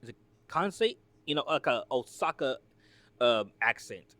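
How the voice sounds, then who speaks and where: A man from the United States reacts in casual, rambling talk, full of fillers and asides. His pitch swings widely as he talks. The sound is dry and close, with no room around it. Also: unhurried, no room sound. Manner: rambling, filler-heavy, lively and chatty. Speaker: reaction video host.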